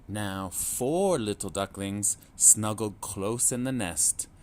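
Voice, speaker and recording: A man reading a picture book aloud to children in a slow, clear, expressive storytelling manner. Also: fairly low pitch, slight background noise.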